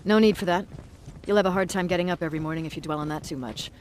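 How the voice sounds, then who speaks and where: An actress playing a tough, stern resistance commander in a video game, authoritative, curt and dryly sardonic. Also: female voice, lowish voice, racing, darkish tone, slight background noise, close to an everyday voice. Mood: grave. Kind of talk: dramatic video game acting.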